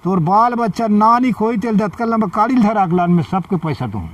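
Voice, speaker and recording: A man's voice: sits high, expressive, normal speed. A man with a Chhattisgarhi accent tells an oral folk story informally, in short phrases that leave room for a listener to respond. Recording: dull lo-fi, distant microphone.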